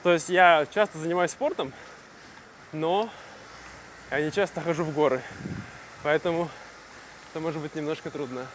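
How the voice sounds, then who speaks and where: A man from Russia vlogging casually to camera, speaking simply and clearly for language learners with easy fillers. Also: on the high side, normal speed, pauses often, fairly bright tone, slight background noise. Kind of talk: casual vlog talk to camera.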